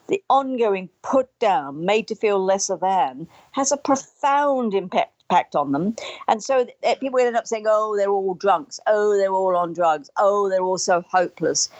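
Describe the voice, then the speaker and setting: A woman's voice: medium pitch, a little mellow, no echo, highs cut off. An older woman, answering in an interview in an earnest, emphatic way and repeating phrases to drive points home. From Australia. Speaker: trauma recovery expert, interview guest.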